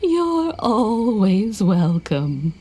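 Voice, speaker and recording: A woman playing a kindly, gracious old lady of a noble household in a video game, polite and gentle. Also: mid-range voice, very animated, extremely slow, background noise. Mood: warm, welcoming. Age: older. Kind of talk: video game character acting. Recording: music behind the voice.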